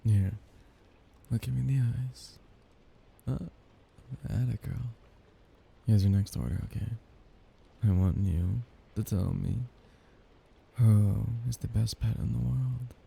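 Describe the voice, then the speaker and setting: A man playing a possessive, doting young mafia heir in an intimate ASMR romance roleplay, soft-spoken and halting, with breathy chuckles. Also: fairly low pitch, slight background noise, full character voice. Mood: playful, coaxing.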